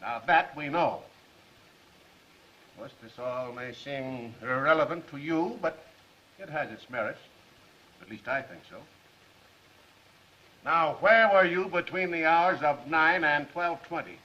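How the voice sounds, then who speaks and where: A shrewd, coolly insistent district attorney with a bright, forceful, fairly high voice. He questions deliberately and with pointed politeness, in the manner of 1930s film acting, on a muffled old soundtrack. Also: animated, a little hiss or hum. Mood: pointedly probing.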